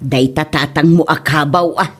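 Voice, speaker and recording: A woman's voice: low voice, steady tone, moderate pace, breathy edge. A woman playing a nagging, quarrelsome middle-aged mother and wife in a comedy drama, scolding in an Ilocano accent. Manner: scolding, emotive. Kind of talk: radio-style comedy drama acting.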